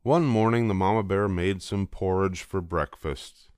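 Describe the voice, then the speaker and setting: A man's voice: deep voice, measured pace, darkish tone, clean tone, dry recording, studio quality. An older man reading a children's storybook aloud as scripted narration. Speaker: storybook reader.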